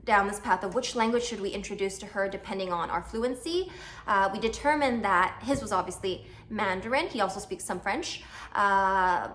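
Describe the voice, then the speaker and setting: A woman in her thirties, from Canada, talks casually to her viewers at a mid pitch. She rambles a little, with plenty of ums and uhs, and pokes fun at herself. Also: fairly bright tone. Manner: chatty, self-deprecating, many fillers. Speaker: vlogger. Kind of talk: casual chat to camera.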